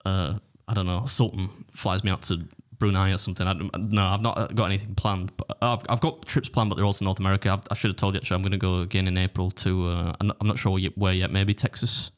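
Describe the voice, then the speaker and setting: A man's voice: sits low, level tone, quick pace, hardly any pauses. A man with a Yorkshire accent chatting casually on a podcast, telling anecdotes in a rambling, self-deprecating way. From Britain. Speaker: competitive eater and video creator.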